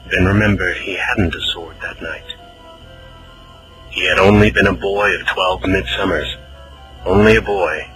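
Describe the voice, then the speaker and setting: Male narrator, dramatic fantasy storytelling, lowish pitch, prominent score underneath. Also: low-quality recording. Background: loud music.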